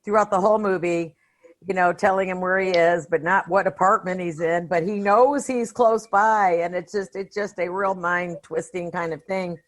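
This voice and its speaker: A woman's voice: fairly low pitch, fairly even intonation, moderate pace. A woman co-hosting a podcast, chatting about film in an informal, blunt and colloquial way. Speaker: podcast co-host. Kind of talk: casual film discussion.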